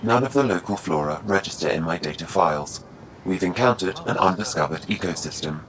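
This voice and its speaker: A man's voice: sits low, fairly quick, fairly bright tone, a little hiss or hum, rough recording. A man voicing a detached, analytical artificial intelligence in a video game, precise and unemotional. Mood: clinical.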